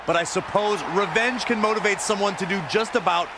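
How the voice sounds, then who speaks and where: High-voiced dramatic wrestling commentator. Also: male voice, fairly quick, background noise. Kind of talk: sports commentary. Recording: very loud music behind.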